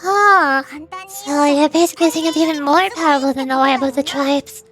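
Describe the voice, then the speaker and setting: A woman voicing a small, curious, excitable fairy companion in a video game, questioning in a childlike way. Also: high voice, moderate pace, faint music behind. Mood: puzzled, curious.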